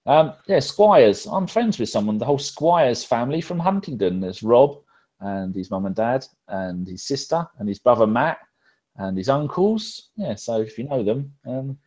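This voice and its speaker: A man's voice: medium pitch, lively intonation, moderate pace, darkish tone. A middle-aged man hosts a casual football show from a room at home. He rambles through jokey banter and swears freely. He is heard over a video call. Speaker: podcast host.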